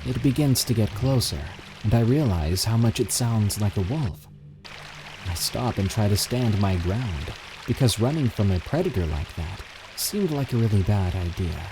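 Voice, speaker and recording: A man reading submitted encounter stories aloud in steady, measured scripted narration. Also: on the low side, music in the background.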